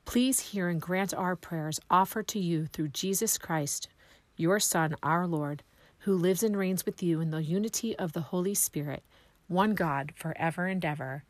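Hoarse woman w a cold, kinda low voice, lots of ums, raspy, dry sound. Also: measured pace, no echo. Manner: soft, hesitant, full of ums and restarts. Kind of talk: prayer followed by a personal testimony.

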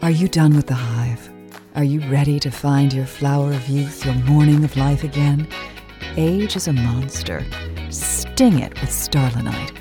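Parody ad announcer: seductive, very low voice, plucked guitar behind. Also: female voice, unhurried. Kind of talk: mock advertisement voice-over.

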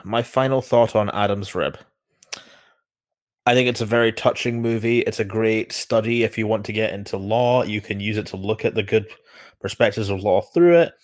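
A man's voice: fairly low pitch, moderate pace, no room sound, studio quality. A man co-hosting a film podcast, giving his closing thoughts in an easy, teasing conversational way. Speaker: podcast co-host. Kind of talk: casual film discussion.

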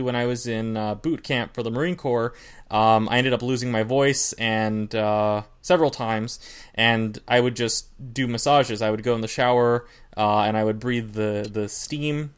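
Smooth-voiced man, relaxed podcast talk. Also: mid-range voice. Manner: relaxed, rambling, many fillers and restarts. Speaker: podcast co-host, singer. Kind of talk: casual podcast chat.

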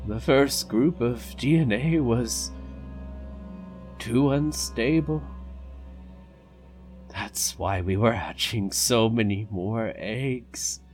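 A dying old park founder grieves in a fan audio drama, played by a man. His words come out slowly and haltingly, each phrase drawn out. Music plays softly behind him. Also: mid-range voice. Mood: grieving. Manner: slow, faltering, weak.

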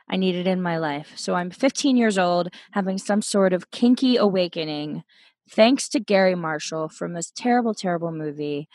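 A woman in her late thirties, casually telling a story as a podcast guest from her bedroom, chatty and quick to laugh. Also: fairly low pitch, a little mellow, no echo. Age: 30s. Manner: chatty, giggly, self-deprecating.

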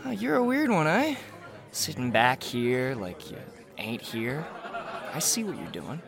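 A man's voice: mid-range voice, sing-song, unhurried, gravelly, crowd noise. A man playing a rowdy, menacing, taunting drunkard in a radio drama, with slurred, rambling speech. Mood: needling.

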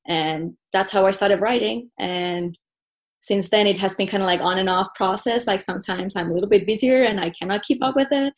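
A woman's voice: mid-range voice, fairly quick. A young woman from Finland with a Finnish accent, a native Finnish speaker, chatting casually as a podcast guest with many fillers and restarts. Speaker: guest, professional volleyball player. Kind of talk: casual interview chat.

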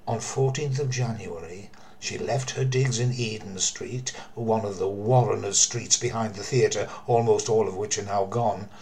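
An older man narrating a true mystery story in a theatrical, deliberate way, with emphasis and dramatic pauses. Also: mid-range voice, darkish tone. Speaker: storyteller, former theatre worker.

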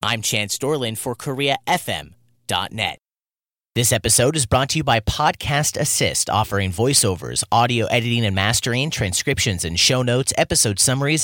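Lively male reporter, dry studio read. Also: medium pitch, no room sound. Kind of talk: scripted news narration.

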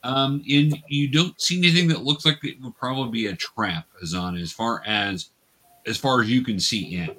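A man with a bright, forward voice works as game master for a tabletop role-playing game. He narrates what happens to the players and patiently explains how the rules work. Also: medium pitch, normal speed.

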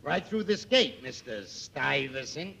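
A man's voice: mid-range voice, very animated, unhurried, gravelly, pushed and bright, exaggerated voice. An actor playing a brash, wisecracking sidekick who hustles his pal along in a 1940s radio comedy. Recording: slightly noisy 1940s radio recording.

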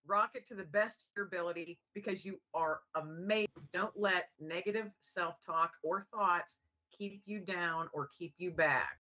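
Woman, american, gravelly, raspy, bright, encouraging, lo-fi sound. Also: lowish voice, moderate pace. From United States. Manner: upbeat, encouraging. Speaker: guest, author. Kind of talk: casual chat.

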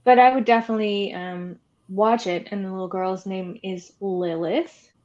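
A woman's voice: medium pitch, steady tone, moderate pace, a little mellow, dull audio. A woman co-hosting a podcast, chatting casually and informally about horror films with wry, opinionated asides. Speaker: podcast co-host.

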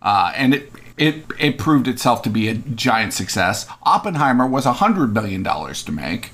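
A man's voice: medium pitch, big pitch swings, normal speed, a bit bright. A man co-hosting a podcast in casual chat, rambling with fillers and fond of puns. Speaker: podcast co-host.

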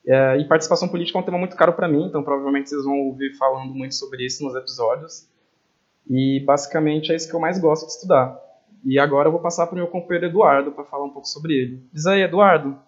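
Young guy cohosting a student podcast, earnest, kinda high voice, muffled lo-fi sound. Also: fairly even intonation, fast talker. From São Paulo, Brazil. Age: young adult. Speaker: podcast co-host. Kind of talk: casual podcast introduction.

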